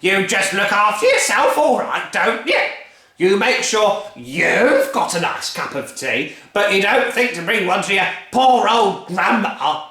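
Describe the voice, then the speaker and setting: A reader doing a loud nasty old grandma. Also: medium pitch, big pitch swings, measured pace, pitched above the performer's own voice, full character voice. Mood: shouting. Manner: shouting, bossy. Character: enormous nasty old grandma, greedy bully. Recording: echoey room.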